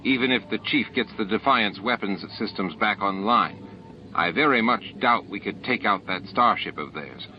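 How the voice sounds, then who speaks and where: A man plays a station commander in a science fiction audiobook, weary, firm and measured. The voice is fairly low. The sound is dull, with clear noise behind it. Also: natural voice. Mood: grave, reasoning. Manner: mild, measured.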